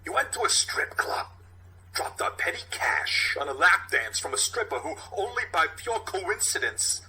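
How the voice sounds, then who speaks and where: Police captain, halting theatrical speech, widely swinging pitch, bright forceful tone, volatile temper, comic cop drama, faint background noise. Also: male voice, big pitch swings, exaggerated voice, poor audio. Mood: deliberate, sardonic. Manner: halting theatrical monologue swinging between hushed and shouting.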